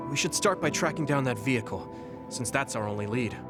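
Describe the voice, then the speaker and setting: A man playing an earnest, caring young soldier in a video game, speaking sincerely and clearly. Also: medium pitch, animated, brisk, lightly acted. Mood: resolute, puzzled. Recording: background music under dialogue. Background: game soundtrack music.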